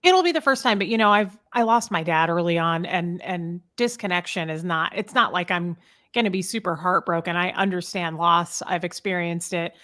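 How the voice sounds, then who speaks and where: A woman's voice: lowish voice, moderate pace, a bit bright. A woman hosting a casual chat, speaking candidly in a chatty, personal storytelling way. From American Midwest. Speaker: podcast host. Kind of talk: casual conversation.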